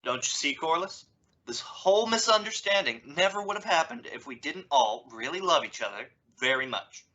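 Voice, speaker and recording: Man playing a lively, bright-voiced peacemaking dad. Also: on the high side, moderate pace. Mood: conciliatory, firm. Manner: reasoning, emphatic, fatherly. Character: peacemaking father of a teenage girl. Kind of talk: old-time radio comedy acting. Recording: dull, band-limited audio.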